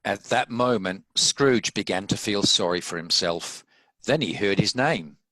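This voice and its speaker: A man's voice: on the low side, lively intonation, a little slow, bright, forceful tone, no room sound, rough recording. A man reading scripted story narration as the narrator of a dramatic table read.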